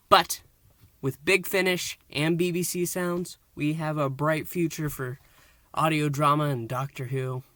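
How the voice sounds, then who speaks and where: A man's voice: mid-range voice, fairly bright tone, no echo. A man hosting a review show, recapping and reviewing in a casual, earnest, enthusiastic fan style. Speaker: host of an audio drama review show.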